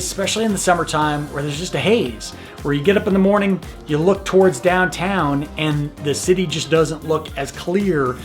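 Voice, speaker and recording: A man's voice: fairly high pitch, a bit bright. A middle-aged man hosting a channel about his home city, talking frankly and jokingly straight to camera. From Colorado. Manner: chatty, frank and joking, with restarts. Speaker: real estate host of a city channel. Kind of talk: casual talking-to-camera video.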